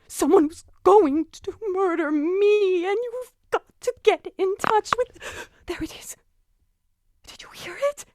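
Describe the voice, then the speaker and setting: High-pitched, fretful invalid wife, acted by a woman. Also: darkish tone, no echo, clean studio sound, exaggerated voice. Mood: panicked, pleading. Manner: fretful, demanding, dramatic. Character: nervous, imperious invalid wife, bedridden, increasingly terrified. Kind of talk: old-time radio thriller acting.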